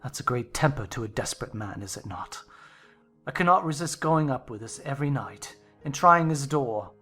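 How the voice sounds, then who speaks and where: A woman's voice: very deep voice, animated, moderate pace, fairly bright tone, soft background music, pitched below the performer's own voice. A woman voicing a grim, surly country master, brooding and vengeful, growling with menace in a dramatized novel reading. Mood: dark, obsessive menace.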